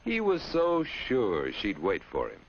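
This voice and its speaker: A muffled vintage soundtrack carries a knowing film narrator with a lowish voice. His delivery is didactic and pointed, with lively swings of pitch, in scripted 1950s style. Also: unhurried, a little hiss or hum, exaggerated voice. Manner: pointed, didactic, addresses the character directly. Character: knowing, probing male educational film narrator.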